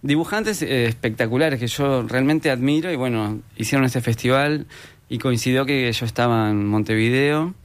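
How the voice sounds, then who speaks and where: Young argentine guy, smooth clear voice, mid pitch, easygoing rambly interview chat, pokes fun at himself. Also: normal speed. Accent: Argentine Spanish. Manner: relaxed, rambling, self-deprecating. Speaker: guest illustrator and painter.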